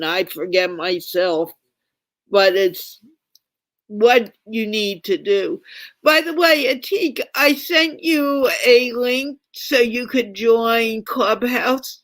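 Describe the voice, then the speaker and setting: Woman running an online english chat, slow and firm, kinda high voice, dry sound. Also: a bit bright, no room sound. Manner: firm, instructive, reminds guests to mute. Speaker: host of an English conversation show. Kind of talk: online conversation class. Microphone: computer mic on a video call.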